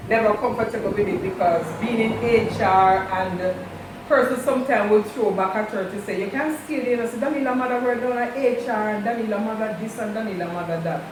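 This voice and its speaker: A middle-aged woman tells family stories at a steady, measured pace and stops now and then to hunt for a word. She sounds set back from the mic in a very echoey room. A steady hum of background noise runs under her voice. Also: mid-range voice, far from the mic. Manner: measured, searches for words. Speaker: mother. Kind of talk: casual storytelling.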